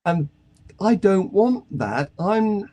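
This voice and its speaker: A man's voice: high-pitched, very animated, slow, warm tone. A man hosting a live online talk show, wry and self-deprecating, chuckling as he talks. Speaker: host. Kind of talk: casual chat, hosting.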